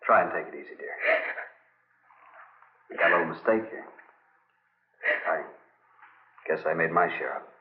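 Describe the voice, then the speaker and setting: A man's voice: low voice, moderate pace, pauses often, natural voice. An actor playing a shaken but forgiving army captain in his thirties in a 1950s radio drama. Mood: tender, subdued. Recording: muffled narrow-band old radio recording.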